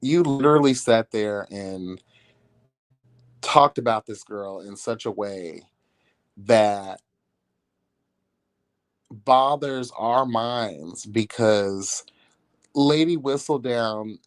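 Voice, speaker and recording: A man's voice: fairly low pitch, extremely slow, long pauses, clean tone, no echo. A man giving casual celebrity gossip commentary as a livestream host, with a sassy, catty, blunt and slangy way of talking.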